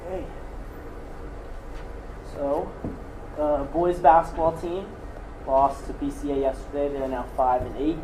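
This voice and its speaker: A man's voice: on the high side, steady tone, a little slow, long pauses, dark tone. A teenage male student representative giving a sports report at a school board meeting, correcting himself as he goes. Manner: corrects himself mid-sentence. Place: meeting room. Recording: echoey room, noise.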